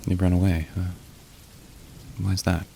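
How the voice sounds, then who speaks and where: A man playing a weary, tender young demon in an intimate ASMR roleplay, speaking softly and hesitantly with gentle wry humor. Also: low voice, level tone, measured pace, gravelly, slight background noise. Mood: sympathetic, gentle. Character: weary, tender young male demon working a phone line. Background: rain and thunder.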